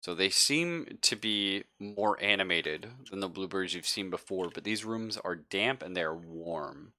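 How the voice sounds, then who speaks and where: Low, bright-voiced man running a tabletop game. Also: unhurried. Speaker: game master. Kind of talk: improvised narration of a tabletop horror game.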